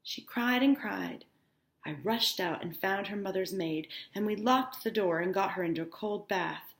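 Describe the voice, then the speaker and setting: Lady english teacher reading a book out loud n explaining bits casually, kinda low gravelly fry, echoey room. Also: normal speed. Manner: informal, instructive asides with check-ins like "okay?". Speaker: high school English teacher. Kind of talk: reading a novel aloud with explanatory asides.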